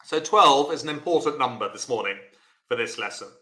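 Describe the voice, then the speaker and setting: Chatty British bridge teacher, roomy sound. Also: male voice, mid-range voice, animated, a little fast. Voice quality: loud voice. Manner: chatty, encouraging, explains step by step. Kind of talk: live online lesson.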